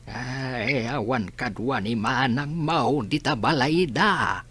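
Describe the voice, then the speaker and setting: A man acting a concerned, exasperated older village uncle in an Ilocano radio drama, with a husky voice and a Filipino accent. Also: medium pitch, slow pace, a bit bright. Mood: exasperated, worried.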